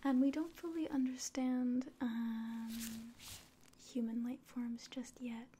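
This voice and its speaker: A woman in her twenties doing a whispered ASMR roleplay in a soft, breathy, gentle and reassuring voice, close to the mic. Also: on the high side, steady tone, takes it slowly, slight background noise. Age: 20s. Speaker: ASMR performer playing an alien medical examiner. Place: small indoor room.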